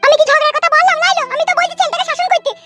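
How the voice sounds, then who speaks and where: A performer voicing a shrill nagging mother. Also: steady tone, rapid-fire. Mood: scolding. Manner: scolding, shrill bickering. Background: background music.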